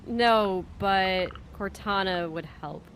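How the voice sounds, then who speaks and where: Playful woman, medium-pitched, casual stream banter. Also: slow pace, background noise. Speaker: co-host.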